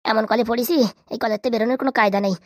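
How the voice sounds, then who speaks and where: A man's voice: very high voice, fairly even intonation, rapid-fire, dry recording, clean studio sound. A man voicing a troubled, remorseful married villager who drinks, grumbling and scolding himself in a comedy cartoon. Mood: remorseful, self-pitying. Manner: grumbling, self-scolding, rambling. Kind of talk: comedy cartoon dialect acting.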